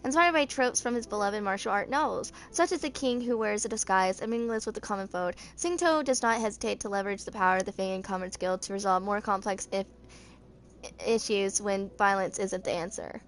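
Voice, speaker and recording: A woman's voice: medium pitch, a little fast. A woman in her twenties reading game character lore aloud as an amateur creator, stumbling over words and rereading them. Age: 20s. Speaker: amateur gaming fan video creator.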